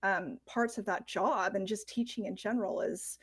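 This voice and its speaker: A woman's voice: mid-range voice, fairly even intonation, normal speed, breathy edge. A woman co-hosting an interview, chatting in an encouraging, friendly way. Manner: encouraging, chatty, warm praise of guest. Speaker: co-host. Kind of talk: casual interview chat.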